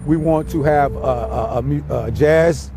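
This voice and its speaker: An American man speaking earnestly and haltingly in an informal interview about his community work, sometimes repeating words. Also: a little high, music in the background. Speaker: community nonprofit founder being interviewed. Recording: clear outdoor traffic and car noise.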